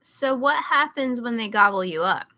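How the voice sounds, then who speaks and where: A woman's voice: on the high side, expressive, moderate pace, dry recording, highs cut off. A woman chatting casually as a podcast co-host, asking questions and musing thoughtfully.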